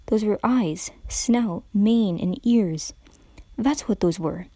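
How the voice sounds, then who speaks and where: Woman narrator, dramatic story reading, very slow and mellow, dry close sound. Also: medium pitch, no echo. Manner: slow, brooding, dreamlike.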